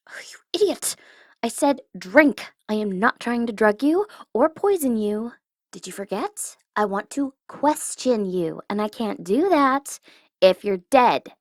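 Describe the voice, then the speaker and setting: A woman playing a teasing tsundere supervillain in an ASMR audio roleplay, condescending but easily flustered, sometimes slipping into soft whispers. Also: fairly high pitch, no echo, clean studio sound, full character voice. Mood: exasperated, scolding. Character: teasing, tsundere female supervillain flustered by her captive hero.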